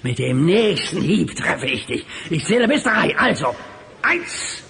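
High, creaky gloating villain. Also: male voice, very animated, a bit bright, a little hiss or hum, heavily acted. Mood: gloating menace. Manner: gloating, threatening, drawn-out delivery. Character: menacing axe-wielding villain hunting a small wizard. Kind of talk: German radio play acting. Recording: old audio cassette drama with music.

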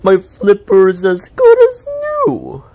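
A big, gentle whale from a children's storybook, voiced by a woman. He speaks loudly and emphatically, pitched up from narration. His words are drawn out and good-hearted. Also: huge pitch swings, slow pace, full character voice. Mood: grateful, friendly.

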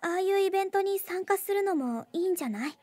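A woman playing a gentle, earnest teenage schoolgirl in a Japanese anime game, with a soft, hesitant, friendly manner. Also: very high voice, animated, fast talker, dry recording. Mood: hesitant, hopeful.

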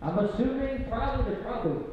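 High-voiced man calling bids, very echoey. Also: animated, fast. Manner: casual, slangy. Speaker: auction bid caller. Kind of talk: live auction commentary and bid calling. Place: large indoor auction room. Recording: very echoey hall, dull sound, faint noise.

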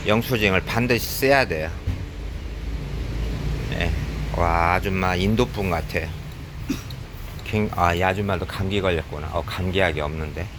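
A man with a cold, chatting casually as he films himself shopping in a convenience store, filling pauses with "yes". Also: sits low, noisy background. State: has a cold. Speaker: vlogger. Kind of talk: casual running commentary while shopping.

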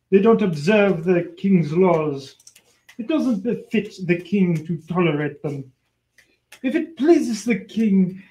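A man playing a scheming, vengeful royal official in an old-time radio show, theatrical and halting. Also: high-pitched, a little mellow, rough recording. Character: vengeful scheming male royal official, imperious, obsequious to the king.

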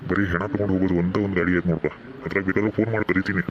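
A man's voice: fairly even intonation, very fast, close to an everyday voice. A man playing a morbid, fatalistic old village man in an amateur cartoon, speaking with a North Karnataka Kannada accent and an ominous, matter-of-fact manner. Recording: muffled low-fidelity track with background noise.

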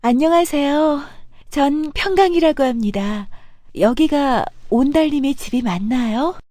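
A woman playing a gentle, determined young princess in a children's folktale, with polite, formal speech. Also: sits high, expressive, measured pace, no room sound, clean studio sound. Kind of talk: children's animated folktale acting.

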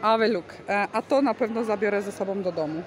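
A woman gives a chatty, enthusiastic market tour in a casual vlog style. She explains what is on show, speaking at a middle pitch into a handheld phone, with a busy crowd clearly heard around her in the big covered hall. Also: fast talker. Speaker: vlog host. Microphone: handheld phone camera, speaker behind it. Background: bustling market crowd.

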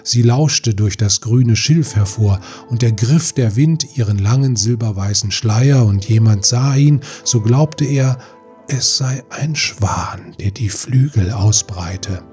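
A man's voice: mid-range voice, slow pace, dark tone. A man reading a scripted fairy tale as an audiobook narrator. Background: music.

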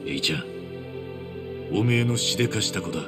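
An actor playing a loyal, earnest street-tough man in a game cutscene, speaking roughly and from the heart. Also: on the low side, animated, brisk, over music, natural voice. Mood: heartfelt, urging. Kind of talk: dramatic game cutscene acting.

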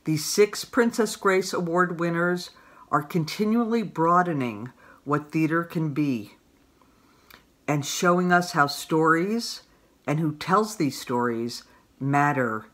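A middle-aged woman introducing award winners in a scripted talk to camera, measured and grateful. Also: low-pitched, fairly bright tone. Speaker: host presenting awards. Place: small room indoors.

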